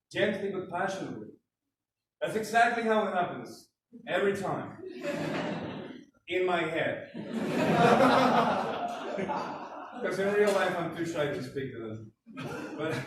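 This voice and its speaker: A young Mexican man with a Mexican Spanish accent, doing a self-deprecating stand-up comedy set and acting out scenes. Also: high-pitched. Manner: self-deprecating, acts out scenes in put-on voices. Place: large room with live audience laughter. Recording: echoey hall from far off, room noise.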